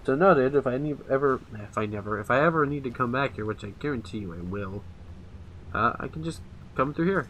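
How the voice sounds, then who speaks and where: A man's voice: mid-range voice, lively intonation, a little fast, fairly bright tone, a little hiss or hum, poor audio. A man giving casual, rambling let's play commentary on a video game. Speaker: gaming video host. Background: game music.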